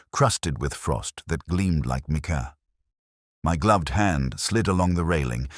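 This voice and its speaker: An extremely deep male voice in a dry, close recording, a man acting a brooding, philosophical inspector. He narrates his memories in solemn, measured literary phrases for a detective audio drama, with expressive rises and falls. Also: no room sound, full character voice. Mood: pensive, grave.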